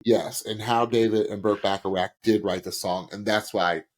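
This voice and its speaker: A young man co-hosting a podcast, reviewing singing performances in an animated, chatty way, with many restarts. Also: lowish voice, normal speed. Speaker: podcast co-host. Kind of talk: casual music review chat.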